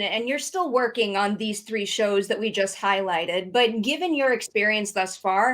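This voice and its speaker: Woman, interview host, slightly high pitch, chatty, upbeat, friendly questions.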